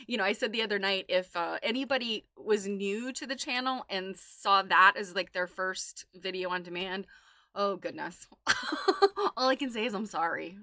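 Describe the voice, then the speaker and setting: A woman's voice: mid-range voice, bright, forceful tone, no echo, studio quality. A woman hosting a livestream in casual, chatty talk, with a playful, giggly manner. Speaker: livestream host.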